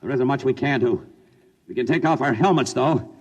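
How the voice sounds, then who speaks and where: An actor playing a steady male general who gives clipped orders on a dive, in 1950s radio drama style. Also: medium pitch, normal speed, breathy edge, big-room sound, close to an everyday voice. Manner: clipped commands. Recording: old radio broadcast with music bed.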